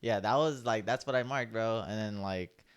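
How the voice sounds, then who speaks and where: A young man chatting casually on a podcast, his voice low-pitched and smooth. He rambles through stories at an easy pace, filling the gaps with "like" and "um.". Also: normal speed. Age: young adult. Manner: laid-back storytelling, heavy use of "like". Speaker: podcast co-host. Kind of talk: casual chat.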